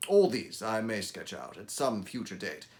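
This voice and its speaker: A man reading aloud as Dr. Watson, a Victorian gentleman recounting old cases, in a measured, formal storytelling delivery. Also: on the low side, big pitch swings. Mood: earnest, reflective. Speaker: audiobook reader. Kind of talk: dramatic reading of a classic story.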